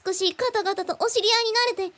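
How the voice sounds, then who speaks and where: A woman's voice: extremely high pitch, steady tone, fast talker, a bit bright. A woman voicing a precocious genius little girl in a Japanese radio drama, speaking with quaint, very formal, old-fashioned politeness. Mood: cheerful, admiring.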